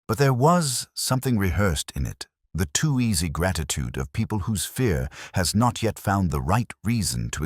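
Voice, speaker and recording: A man playing a melancholy, observant older police inspector, narrating a case in a measured, reflective, literary way. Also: deep voice, slightly rough, dry recording, clean studio sound, heavily acted. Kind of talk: scripted first-person detective narration.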